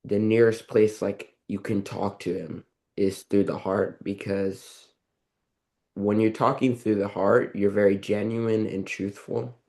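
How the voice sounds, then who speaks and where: A man answers catechism questions in a religious class. His voice is low and gravelly with a dark tone. He recites set answers plainly, then hesitates with likes and ums when explaining in his own words. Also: level tone, a little slow. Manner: hesitant, filler-heavy when speaking freely. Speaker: class student.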